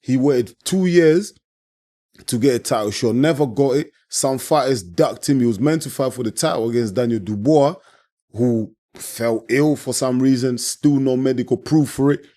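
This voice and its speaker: A man giving a casual, passionate post-fight reaction as a channel host, his voice hoarse and worn out from shouting. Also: medium pitch, normal speed. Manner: passionate, repeats himself, keeps saying "man".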